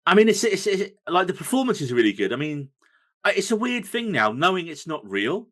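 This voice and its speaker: A man's voice: sits high, sing-song, fairly quick, a bit bright. A man hosting a podcast, chatting about films in a rambling, enthusiastic way with plenty of fillers. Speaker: podcast host. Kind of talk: casual film review chat.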